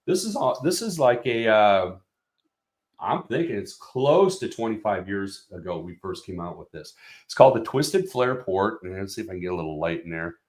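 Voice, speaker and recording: A man's voice: fairly low pitch, expressive, normal speed, echoey room. A man representing a product company, explaining speaker design in a casual technical walkthrough with many fillers and restarts.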